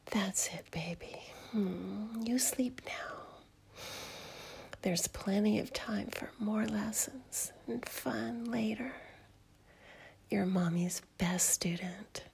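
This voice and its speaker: A woman playing a doting, possessive middle-aged mother in an intimate audio roleplay, speaking in a soft, whispery murmur. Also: mid-range voice, exaggerated voice. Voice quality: breathy, whispery.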